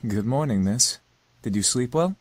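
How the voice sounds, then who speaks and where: An actor voicing a courteous, composed young gentleman in a visual novel game, speaking with formal, measured politeness. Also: mid-range voice, expressive, no room sound, natural voice. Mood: polite.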